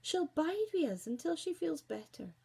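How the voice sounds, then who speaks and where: A woman's voice: very high voice, very animated, normal speed, creaky, darkish tone, voice pushed up, full character voice. A woman voicing a wise, kindly old male dwarf in a storybook tale, with a Scottish accent and a soothing manner. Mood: tender.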